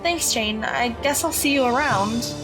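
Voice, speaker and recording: A woman's voice: fairly high pitch, animated, unhurried, rough recording. A woman playing a curious teenage magical girl. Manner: curious, questioning, plainspoken. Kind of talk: audio drama acting. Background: background music.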